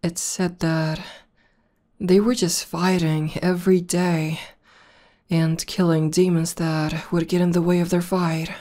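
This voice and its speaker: Woman playing a low, slow-spoken demon girlfriend. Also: little pitch movement. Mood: casual, reflective. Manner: soft, halting, tender delivery. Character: tough, devoted tomboy female war demon girlfriend. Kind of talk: intimate ASMR roleplay acting.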